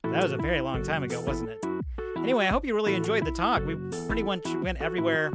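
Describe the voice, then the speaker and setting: A man's voice: fairly high pitch, expressive, fast, loud music behind the voice. A man hosting a podcast in a casual, informal way, with plenty of swearing. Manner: informal, profanity-laced. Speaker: podcast host and interviewer.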